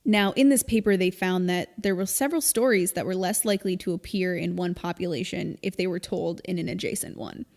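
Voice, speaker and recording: Woman podcast host explaining stuff casually, creaky voice, lots of reverb on the recording. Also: on the low side, fairly quick, few pauses, darkish tone. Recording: long reverb, hall-like room.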